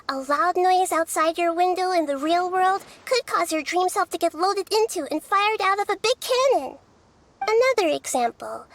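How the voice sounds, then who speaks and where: A woman voicing a small, childlike goddess in a video game, who speaks in a soft, measured, analytical way. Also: extremely high pitch, dry recording. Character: small childlike goddess, calm, curious and scholarly. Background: game soundtrack music.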